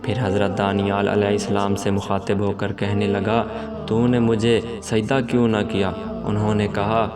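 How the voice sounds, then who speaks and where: A man tells a scripted religious story in a lowish voice. His delivery is formal and measured, with very little rise or fall in pitch. Music plays clearly behind him. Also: monotone. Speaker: storyteller, narrator.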